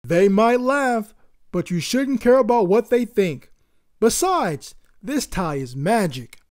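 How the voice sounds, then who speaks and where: An encouraging storybook father, as played by a woman, proud and reassuring. He speaks emphatically, with lively swings of pitch. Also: takes it slowly.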